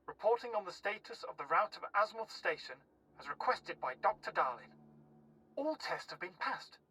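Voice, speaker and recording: Game researcher's log: formal, detached, sharp yet rough-edged voice. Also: male voice, sits high, sing-song, moderate pace, exaggerated voice, poor audio. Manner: formal, clipped reporting. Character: formal, detached station researcher logging a report. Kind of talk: video game voice acting.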